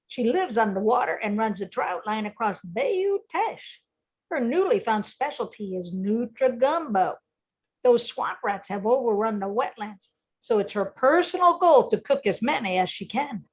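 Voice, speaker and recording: An older woman plays a determined bayou cook in a radio drama. The voice is fairly high and husky, on a dull lo-fi recording. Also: full character voice. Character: underwater short-order cook and fiddler, determined nutria hunter. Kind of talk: amateur radio drama reading. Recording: dull, muffled lo-fi sound.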